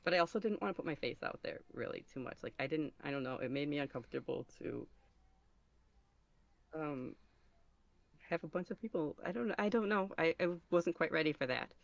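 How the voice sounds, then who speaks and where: A woman's voice: low-pitched, expressive, brisk, creaky, dry recording. A woman chatting casually as an interview guest, hesitant, rambling and self-deprecating, on a dull, muffled recording. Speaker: podcast guest, ASMR roleplay creator.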